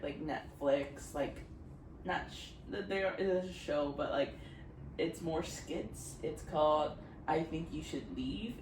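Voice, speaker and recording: A woman in her twenties chatting casually as a vlogger at home, rambling, filling her talk with "like" and laughing often. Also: sits low. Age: 20s. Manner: rambling, giggly, lots of "like". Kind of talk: casual chat. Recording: roomy, distant mic, background noise.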